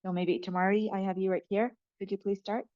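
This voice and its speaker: A woman's voice: mid-range voice, little pitch movement, brisk, dark tone, no echo, highs cut off. A woman moderating a panel webinar, asking questions with frequent hesitations. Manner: hesitant, many fillers. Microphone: video call.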